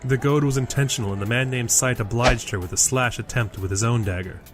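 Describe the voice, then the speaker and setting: A man narrates an audio drama in a scripted storytelling style, his mid-pitched voice set against prominent music. It is measured prose reading. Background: loud music.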